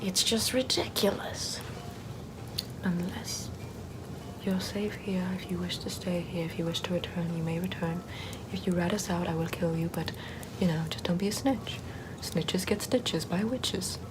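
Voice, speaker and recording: A woman's voice: fairly low pitch, normal speed, background noise, lightly acted. A woman playing a chill, sardonic Puritan-era Salem witch in a whispery, casual ASMR roleplay. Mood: wry, relaxed. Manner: hushed, often whispering, wry and casual.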